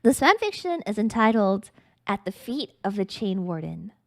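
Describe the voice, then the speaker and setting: A woman's voice: medium pitch, big pitch swings, moderate pace, dry recording. A woman streamer reading a story aloud in a theatrical, mock-grandiose, dramatic narrating style.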